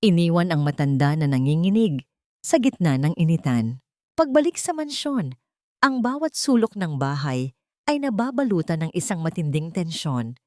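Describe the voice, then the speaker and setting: A woman's voice: deep voice, big pitch swings, moderate pace, darkish tone, no echo. A woman narrator tells a dramatic scripted story. The recording is dry and close, with no room around her.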